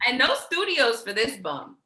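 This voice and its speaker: A woman's voice: on the high side, huge pitch swings, normal speed, pushed and bright, full character voice. A woman acting in a play reading as a chatty, affectionate sister who teases in a casual, breezy way. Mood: upbeat. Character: chatty, teasing, affectionate sister making plans.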